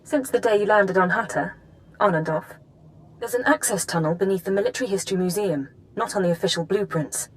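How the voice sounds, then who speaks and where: An actress playing a businesslike intelligence handler in a spy game, briefing in a measured, precise, familiar way. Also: female voice, medium pitch, close to an everyday voice, low-quality recording. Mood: focused, brisk. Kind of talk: video game drama acting.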